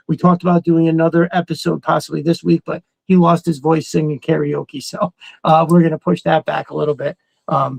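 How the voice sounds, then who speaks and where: A man's voice: fairly high pitch, creaky, a little mellow, dry recording. A man co-hosting a live stream in a casual, enthusiastic and agreeable way. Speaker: regular live stream co-host. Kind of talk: casual fan chat.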